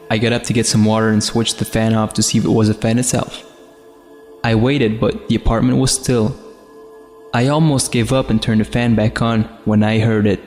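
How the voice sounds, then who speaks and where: Even-pitched man narrating horror with long reverb. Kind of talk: scripted first-person horror story narration. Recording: long reverb, faint music behind. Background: faint music.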